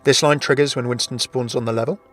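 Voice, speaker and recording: A man's voice: mid-range voice, fairly even intonation, fast talker, a bit bright. A man narrating scripted video game lore commentary in an inquisitive, explanatory way. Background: background music.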